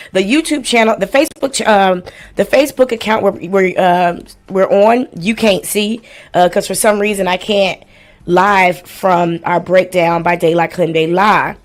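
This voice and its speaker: A woman's voice: medium pitch, steady tone, moderate pace. A woman in her forties hosting a casual livestream, chatting in a blunt, playful, slangy way and swearing freely. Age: 40s. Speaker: livestream host. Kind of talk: casual livestream chat with house rules and shout-outs.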